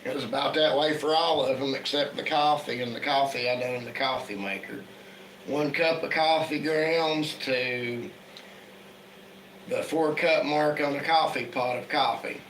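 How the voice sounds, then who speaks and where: Hoarse man chatting in an echoey room. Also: mid-range voice, a little slow. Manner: relaxed, chatty, greets viewers by name. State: hoarse from a cold. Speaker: livestream host. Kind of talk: casual livestream chat. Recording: echoey room with faint background noise.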